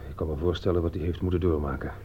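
A man's voice: a bass voice, steady tone, very fast, husky. An actor playing an earnest, compassionate and disapproving man in a radio play, hesitant and reproachful. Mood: sympathetic, earnest. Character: earnest, compassionate, disapproving male friend of principle. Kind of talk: radio play acting in Dutch. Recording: dull, muffled old radio recording.

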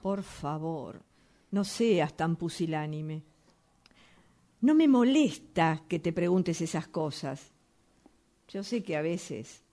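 A woman's voice: low-pitched, mellow. An actress playing a stern, domineering woman in a radio play, with a Rioplatense Spanish accent and an emphatic, insistent delivery. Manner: emphatic, repeats phrases insistently. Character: stern, domineering, defensive woman, sure of herself. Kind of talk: radio theatre acting, workshop fiction.

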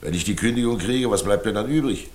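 An actor playing a world-weary, self-mocking older former stage player in a radio drama, with a theatrical, resigned manner. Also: male voice, lowish voice, expressive, fast, close to an everyday voice. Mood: wry resignation. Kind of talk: naturalistic radio drama acting.

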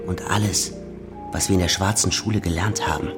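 Guy playing deep voiced journeyman mentor, serious n cautious, radio drama with music under it. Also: fairly even intonation, brisk, natural voice. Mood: grave warning. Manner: urgent, instructing. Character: earnest, cautious journeyman, protective male friend and mentor. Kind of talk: German radio play acting.

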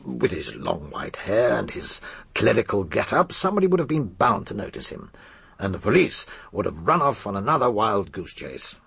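Gruff, gravelly English police inspector. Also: male voice, on the low side, sing-song, normal speed, fairly bright tone, no room sound, heavily acted. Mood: matter-of-fact, assured. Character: shrewd, bulky male police chief inspector, blunt and assured. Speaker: actor in a radio mystery drama. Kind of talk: British radio drama acting.

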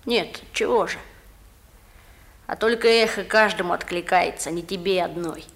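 A woman's voice: on the low side, normal speed, fairly bright tone. An actress playing a hesitant young boy in a 1960s Soviet radio drama, on an old recording. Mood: sulky, offhand.

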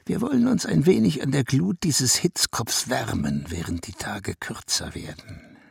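Haughty, gravelly-voiced weary ruler. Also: male voice, medium pitch, very animated, unhurried, heavily acted. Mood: envious brooding. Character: haughty, world-weary male Prussian ruler, speaking as royal we. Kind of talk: literary German radio play monologue.

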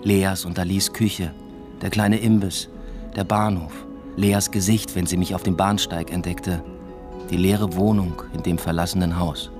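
Radio drama narrator: a subdued, introspective academic father, deep register, clear music bed. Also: fairly even intonation, a little slow. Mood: subdued, pensive. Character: brooding academic father narrating his own story.

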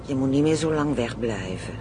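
A man acting in a radio play as a brash, greedy criminal, slangy, boastful and excitable. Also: normal speed, close to an everyday voice. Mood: eager, brash. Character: brash, greedy, playful Amsterdam criminal plotting kidnappings. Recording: radio play with clear vehicle rumble.

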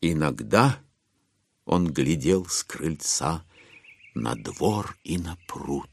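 A man playing a wry author-narrator in an audio drama, phrasing deliberately and expressively with irony. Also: rumbling low, expressive, creaky, slight background noise, full character voice.

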